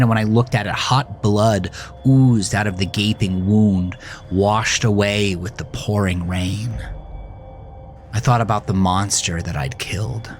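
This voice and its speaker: Man acting as a low-voiced haunted teenage boy. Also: close to an everyday voice. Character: haunted, dazed teenage boy narrating his horror confession. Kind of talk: first-person horror audio drama narration.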